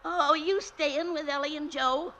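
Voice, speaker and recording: An actress playing a chatty, nosy drive-in proprietress with folksy, rambling talk in a 1950s radio drama. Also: female voice, high voice, steady tone, a little slow, bright, forceful tone. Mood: chatty, excitable. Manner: gossipy, rambling, homespun grammar.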